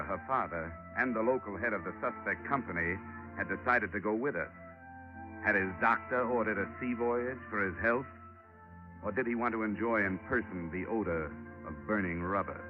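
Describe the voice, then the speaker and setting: A male actor plays a tough, wry American private investigator in a 1940s radio drama. His voice sits low, with clipped hardboiled phrasing, heard through a dull vintage broadcast with prominent music. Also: close to an everyday voice. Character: wry tough male insurance investigator posing as seaman, narrating.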